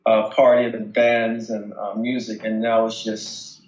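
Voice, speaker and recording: A man's voice: fairly low pitch, level tone, a little mellow. Echoey, muffled American man on a call. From United States. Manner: halting, searching for words. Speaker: interviewee, local resident. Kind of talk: casual phone interview. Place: remote phone call. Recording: dull, echoey phone call.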